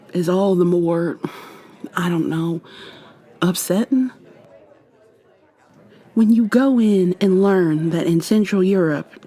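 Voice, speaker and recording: Slow, husky, playful witch played by a woman. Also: on the low side, warm tone. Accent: American. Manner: teasing, affectionate, conversational with trailing pauses. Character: playful, flirty modern witch mentoring a new coven member. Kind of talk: intimate ASMR roleplay addressed to the listener.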